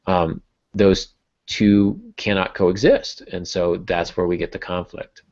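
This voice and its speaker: A man with a distinctly low voice talks in a casual, explanatory way, as a host teaching writers would. The sound is somewhat muffled and lo-fi. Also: steady tone, slow, darkish tone. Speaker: podcast host and writing teacher. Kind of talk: casual explanatory podcast talk. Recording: dull, muffled lo-fi audio.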